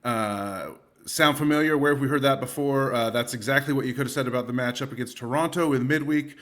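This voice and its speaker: A man hosts a soccer podcast. He talks loosely and jokes a lot, with frequent pauses to think. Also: medium pitch, fairly bright tone. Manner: casual, lots of uh fillers, jokey. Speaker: podcast host. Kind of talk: casual podcast hosting.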